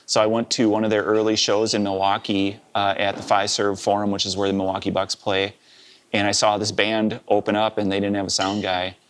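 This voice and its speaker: A man in his fifties with a Wisconsin accent, a live sound engineer telling his story in a relaxed, rambling interview. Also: on the low side, a little fast, slight background noise. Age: 50s. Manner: relaxed, rambling, with many "uh" and "you know".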